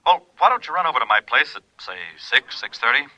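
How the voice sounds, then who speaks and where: Sharp, tinny, nervous insurance man. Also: mid-range voice, lively intonation, fairly quick, no echo. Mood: nervous, evasive. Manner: hesitant, stammering, eager. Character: nervous, evasive, over-friendly male insurance office man. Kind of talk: 1950s radio drama acting. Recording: thin band-limited phone line, old radio.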